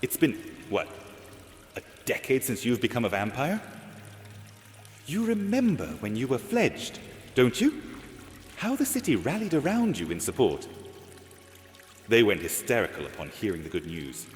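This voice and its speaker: A man's voice: medium pitch, very animated, moderate pace, long pauses, close to an everyday voice. A man voicing a suspicious, anxious vampire adviser in a fantasy audio drama, cautious, portentous and dramatic. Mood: ominous. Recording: echoey long reverb, music bed, water sounds.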